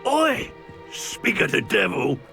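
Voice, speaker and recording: An actor playing a menacing, coarse criminal thug in a video game, bullying and slangy. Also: male voice, sits high, big pitch swings, takes it slowly, creaky, pushed and bright, faint music behind, full character voice. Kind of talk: video game villain acting.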